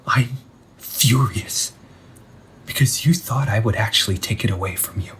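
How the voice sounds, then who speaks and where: A man playing a vengeful undead older brother in an audio roleplay, menacing yet wounded, speaking in a theatrical, taunting way with drawn-out pauses. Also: lowish voice, a bit bright, slight background noise. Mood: bitter, furious. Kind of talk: scripted audio roleplay monologue addressed to the listener.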